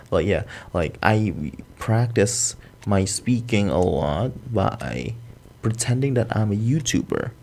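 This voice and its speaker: A young man from Indonesia with an American accent, whose first language is Indonesian, talking off the cuff to the camera in a fast, rambling, swearing way. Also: lowish voice, creaky, a little mellow, a little hiss or hum. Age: young adult. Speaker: vlogger. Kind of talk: improvised talk to camera.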